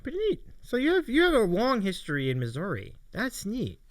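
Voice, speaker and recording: A man's voice: high-pitched, huge pitch swings, fairly quick, no room sound. A man hosting a casual interview, agreeable and affirming as he passes questions to his guest. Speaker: show host interviewing a guest. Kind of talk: casual live interview chat.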